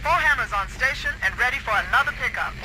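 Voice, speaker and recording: A woman's voice: high voice, animated, fast, noisy background. A woman playing a cool, confident military dropship pilot in a video game, speaking in clipped radio procedure. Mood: businesslike.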